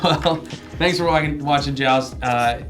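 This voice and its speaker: A man's voice: mid-range voice, a little slow, a bit bright, soft background music. A man speaking as host and judge of a casual debate show, moderating in a chatty style.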